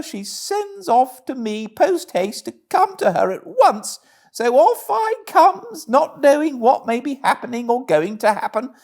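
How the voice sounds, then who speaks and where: A man's voice: high voice, voice pushed up, heavily acted. A man voicing a boastful toad who poses as a plaintive old washerwoman in a storybook reading, with rustic grammar and a fussy, wheedling manner.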